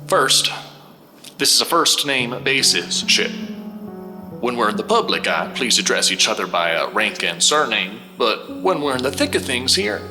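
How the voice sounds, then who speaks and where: An actor playing a folksy, defiant starship captain in an audio drama, addressing the crew in an informal, rambling, emphatic way. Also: male voice, medium pitch, natural voice. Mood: easygoing, informal. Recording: roomy, reverberant sound over clear music.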